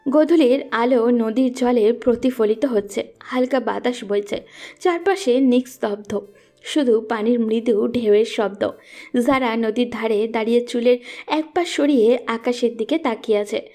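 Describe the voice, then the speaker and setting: A woman's voice: a little high, unhurried, warm tone, soft background music. A woman reading scripted narration for a romantic story as its narrator.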